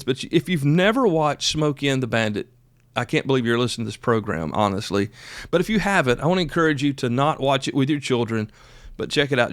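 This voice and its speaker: Male podcast host, 50s, American-raised, mid-pitched, folksy and chatty, casual reminiscing, very dry recording. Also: clean tone, no echo. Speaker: radio hobbyist podcast host. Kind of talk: casual informal history talk.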